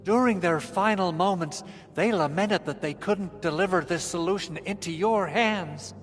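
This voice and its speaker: A man voicing an excitable, melodramatic chief engineer in a video game, effusive and easily flustered. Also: high-pitched, normal speed, in a large hall, faint music behind, natural voice. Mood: earnest, relieved.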